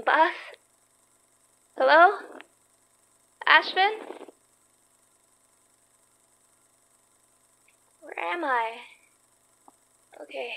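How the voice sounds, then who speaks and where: Woman acting a high-voiced, nervy young investigator. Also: gravelly, pushed and bright, heavily acted, low-quality recording. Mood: frightened. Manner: hesitant, nervy. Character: anxious, plucky young female supernatural investigator. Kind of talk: horror audio drama acting.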